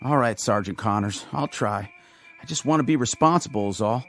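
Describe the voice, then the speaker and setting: A man's voice: medium pitch, a little fast, a bit bright, lightly acted. An American man acting in a radio drama as an anxious, earnest young army private with a boyish manner. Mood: hushed, uneasy. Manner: nervous, boyish.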